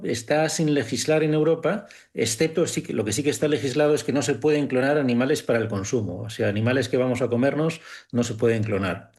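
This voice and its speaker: A man with a medium-pitched voice presents science news on a panel. He explains things informally, step by step, and repeats key points for emphasis. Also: darkish tone.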